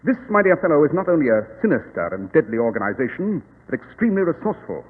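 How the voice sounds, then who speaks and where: An actor in a 1940s radio drama playing a shrewd, self-assured English detective, brisk, commanding and precise. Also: male voice, on the high side, very animated, breathy edge. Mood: grave, assured. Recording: tinny, noisy old radio recording, reverberant.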